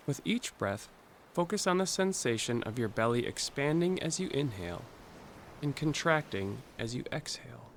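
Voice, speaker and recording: A man narrating a scripted guided meditation in a calm, gentle, instructional way, leaving pauses between phrases. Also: medium pitch, a little hiss or hum.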